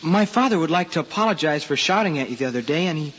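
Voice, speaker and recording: Polite American schoolboy, high voice, earnest and hesitant, radio play, muffled reverberant recording. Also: male voice, animated, fast, fairly bright tone. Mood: eager, polite. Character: earnest, polite schoolboy son playing matchmaker. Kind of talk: old-time American radio drama acting.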